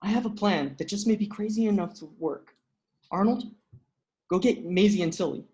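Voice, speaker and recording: A woman playing an earnest, excitable young human in a comedy table read. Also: medium pitch, normal speed, natural voice. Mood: urgent.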